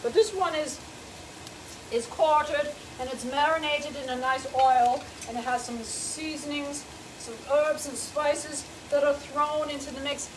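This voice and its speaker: A woman's voice: high-pitched, steady tone, measured pace, noisy background. A middle-aged woman with a light Americanized German accent, hosting a chatty cooking demonstration in a home kitchen and fussily narrating each step. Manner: prim, fussy, homey, narrates each step. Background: food frying in a pan.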